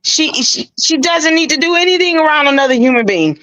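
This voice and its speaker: A woman's voice: high-pitched, animated, a little fast, fairly bright tone. A woman guest in a casual livestream, opinionated and talking at length. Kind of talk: casual commentary.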